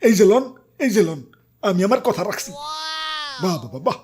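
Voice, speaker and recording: A man's voice: sits high, sing-song, moderate pace, background noise. A man voicing a boastful, easily flustered salaried fellow in a comic cartoon, stammering and exclaiming with a Bangladeshi accent. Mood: indignant, boastful. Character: boastful, flustered salaried man, stingy but self-admiring.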